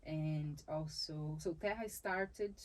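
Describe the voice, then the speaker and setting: A woman's voice: deep voice, slow pace. A woman from Brazil with a Brazilian accent and Portuguese as her first language, a dancer and capoeira teacher talking in an interview, thoughtful, often restarting her phrases and laughing easily. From Salvador, Bahia, Brazil. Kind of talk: conversational interview.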